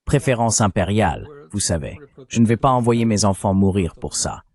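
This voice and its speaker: A man's voice: lowish voice, big pitch swings, normal speed, a little mellow. A man delivering a translated voice-over of an interview, explaining things as a commentator.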